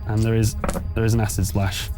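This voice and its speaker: A man's voice: lowish voice, little pitch movement, a little fast, warm tone. A man narrating a tabletop horror game as game master, talking players through rules and outcomes in a conversational way. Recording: faint music underneath. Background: music.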